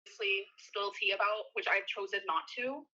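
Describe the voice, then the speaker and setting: Woman, very bright forceful voice, quick and blunt, casual argumentative phone call, lo-fi sound. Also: mid-range voice, steady tone. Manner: blunt, swearing. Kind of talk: confrontational casual phone conversation. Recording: dull lo-fi phone call recording.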